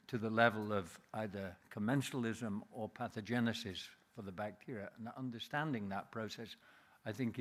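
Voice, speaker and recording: A man with a Yorkshire accent speaks in a low register. He is a jury member giving a speech at an award ceremony, presenting a prize to a scientist. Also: a bit bright. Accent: Yorkshire English. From Yorkshire, England.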